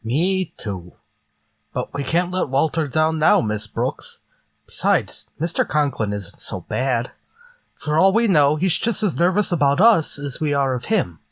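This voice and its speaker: A man's voice: a little high, sing-song, measured pace, no room sound. An easygoing teenage schoolboy sidekick, acted by a man in old-time radio comedy. His intonation swings widely, and he sounds simple and good-natured. The recording is dry.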